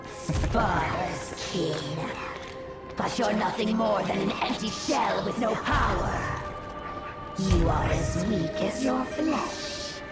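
A woman's voice: medium pitch, takes it slowly. A woman voicing an imperious, menacing female demon in a video game, declaiming with contempt for humans. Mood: haughty menace. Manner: haughty, declaiming. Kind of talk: video game cutscene acting. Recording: echoing, distant, under loud music.

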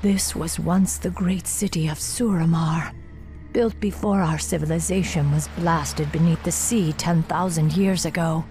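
Woman as a deep-voiced stern elf warden. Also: fairly even intonation, unhurried, mellow, faint music behind, close to an everyday voice. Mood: grave, pondering. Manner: grave, commanding. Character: stern, relentless female night elf warden commander. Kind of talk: dramatic fantasy game acting.

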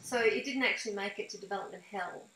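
A woman's voice: medium pitch, fast, bright, forceful tone, a little hiss or hum. A middle-aged woman interviewing a guest in a casual, conversational way over a video call. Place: small room with blinds, indoors. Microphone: video call, computer microphone. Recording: echoey room on video call.

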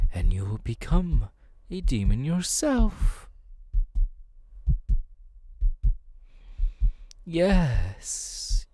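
A man voicing a suave, menacing demon in an ASMR roleplay, with teasing, patronising, drawn-out phrasing, close on the microphone. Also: a little high, mellow, dry recording. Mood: pleased, coaxing. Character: suave, menacing male demon, playfully condescending and seductive. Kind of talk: ASMR audio roleplay, second-person monologue. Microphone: close dry microphone.

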